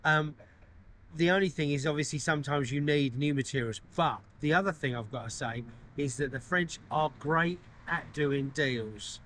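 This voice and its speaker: Chatty middle-aged man, slightly raised pitch. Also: fairly bright tone. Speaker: renovation vlogger. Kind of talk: casual chat to camera.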